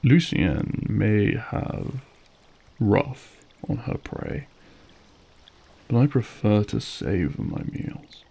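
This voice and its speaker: Here is a suave vampire lord, acted by a man, with a dark, mellow voice that creaks at the edges. He toys with his captive in formal, mocking phrases, drawing out every threat with silky menace in a scripted audio drama. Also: lowish voice, huge pitch swings, drawn-out words, full character voice. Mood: menacing, playful. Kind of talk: scripted audio roleplay acting.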